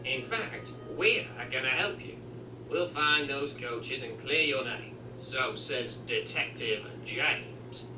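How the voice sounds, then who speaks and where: A man's voice: mid-range voice, slow, lightly acted. A man voicing a self-important, bossy steam engine detective in a cartoon fan dub. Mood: brisk, bossy. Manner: pompous, boastful. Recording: echoey, distant, noisy playback.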